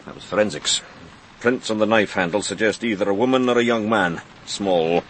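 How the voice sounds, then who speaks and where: An actor playing an impatient senior police detective in a radio crime drama, with a brisk, authoritative, dry manner. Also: male voice, lowish voice, a little hiss or hum, lightly acted, poor audio. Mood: weary, businesslike. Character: impatient senior police detective, male, running a murder investigation.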